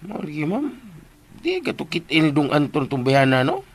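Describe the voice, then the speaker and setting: High-voiced, gravelly, cheeky servant. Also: male voice, huge pitch swings, normal speed, heavily acted. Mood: gossipy. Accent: Visayan Filipino. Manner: stammering, wheedling, clowning deference. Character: cheeky, clownish, devoted male household servant. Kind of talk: comedic radio drama dialogue. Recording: radio drama recording with faint background noise.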